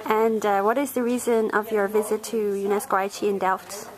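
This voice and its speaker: Woman interviewer, short polite questions, flat level delivery. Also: mid-range voice, moderate pace, a crowd in the background, rough recording.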